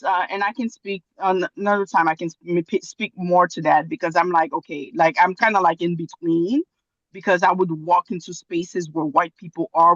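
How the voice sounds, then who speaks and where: A woman's voice: on the low side, no room sound. A woman from Haiti speaks on a video call, circling back and restarting her sentences. The audio is dry and dull, typical of a lo-fi call connection, with no room around the voice. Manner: hesitant, many fillers and restarts. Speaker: audience member commenting. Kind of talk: conversational commentary on a video call.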